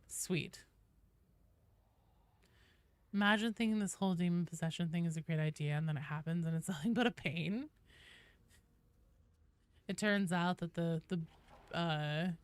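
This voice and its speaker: A woman with a fairly low, smooth and clear voice chats casually as she streams a game. She muses out loud and hesitates as she goes. The sound is close and dry, without room echo. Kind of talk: casual live gameplay commentary.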